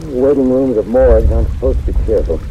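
An actor playing a matter-of-fact insurance investigator in 1940s radio style, clipped, dry and businesslike. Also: male voice, medium pitch, fast talker, lightly acted. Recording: muffled old radio recording, rain noise.

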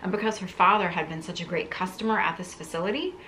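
A woman's voice: on the low side, quick pace, pushed and bright, a little hiss or hum, poor audio. A woman in her thirties talking casually to camera as she hosts an unboxing vlog, musing aloud in a conversational way. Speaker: content creator, video host. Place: living room, indoors.

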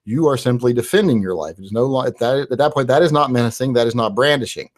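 A man's voice: medium pitch, fast talker. A man in his forties from West Virginia, hosting a live-stream Q&A and answering viewer questions in a loose, rambling way with lots of "uh" fillers, recorded on a studio mic in a small home studio. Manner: rambling and conversational, with frequent "uh" fillers. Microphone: large studio mic on a boom, headphones.

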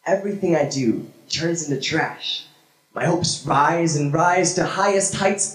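A young man performing spoken word poetry on a small church stage with a handheld mic, dramatic and swinging from hushed to shouted. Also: fairly high pitch. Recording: echoey room from a far camera.

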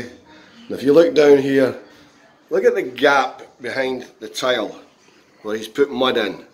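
A man reviewing a contractor's work in a handheld phone walkthrough of a half-tiled bathroom, speaking in a halting, critical way with many pauses. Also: medium pitch. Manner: slow, halting, frequent pauses and restarts; disgruntled, critical. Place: bathroom under renovation, indoors. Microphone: phone camera held in hand.

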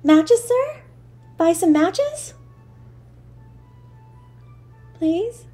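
A woman voicing a frail, frightened little street girl in an audio drama, timid, halting, shivering and tearful. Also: extremely high pitch, sing-song, warm tone, soft background music, full character voice. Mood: pleading. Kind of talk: dramatic audio story monologue.

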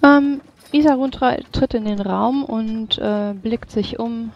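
A tabletop roleplayer speaking formally and in a measured way, switching between in-character speech and narration. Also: mid-range voice, highs cut off. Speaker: player or game master voicing characters in a tabletop game.

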